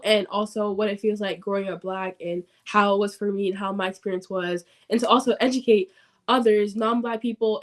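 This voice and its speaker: Teen girl podcast host, mid pitch, loose hesitant delivery with lots of fillers. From Nigerian family background. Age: teens. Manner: hesitant, many ums and likes. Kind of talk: casual interview chat.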